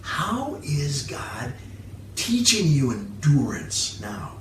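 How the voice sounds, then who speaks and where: A man's voice: a little high, wildly swooping pitch, drawn-out words, a bit bright. A man preaching a sermon as a pastor, phrasing his points emphatically and moving between scripture and plain explanation. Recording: roomy, distant mic, background hiss.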